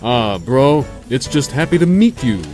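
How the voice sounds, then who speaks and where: A man voicing a laid-back, pun-loving cartoon skeleton who teases his brother in a lazy deadpan. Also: mid-range voice, unhurried. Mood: deadpan, punning. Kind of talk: comic dub cartoon acting. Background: music.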